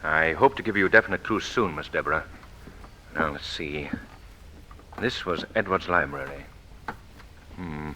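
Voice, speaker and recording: An actor playing a suave, inquisitive gentleman detective in a polished 1940s radio drama manner. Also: very deep voice, normal speed, long pauses. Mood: curious. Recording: noisy old radio recording.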